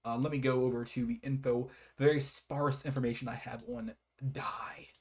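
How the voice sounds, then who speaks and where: A man's voice: mid-range voice, level tone, normal speed, darkish tone. A man giving a casual, rambling game review in a hesitant, apologetic manner with frequent giggles.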